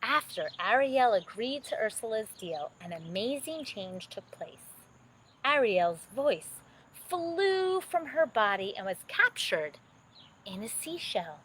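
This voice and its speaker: A woman narrating a children's bedtime story expressively, with dramatic, drawn-out emphasis. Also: fairly high pitch, big pitch swings, a bit bright, slight background noise, poor audio.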